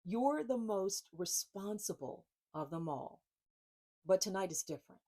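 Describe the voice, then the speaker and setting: A woman author speaking informally and reading expressively from her book, with deliberate, emphatic phrasing and pauses. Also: mid-range voice, animated, warm tone.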